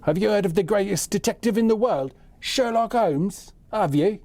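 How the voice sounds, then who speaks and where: Man putting on a hammy, high-pitched London accent. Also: normal speed, a little mellow. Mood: eager, hammy. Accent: London, put on. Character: chatty Londoner, hammy and eager.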